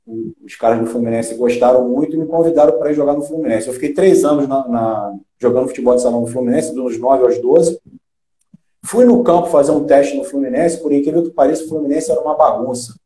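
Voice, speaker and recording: A middle-aged man from Rio de Janeiro with a Carioca accent, a former footballer chatting as an interview guest, halting with many restarts and fillers. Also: mid-range voice, mellow, a little hiss or hum. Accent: Carioca Brazilian Portuguese. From Rio de Janeiro, Brazil. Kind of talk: casual interview chat. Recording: echoey room.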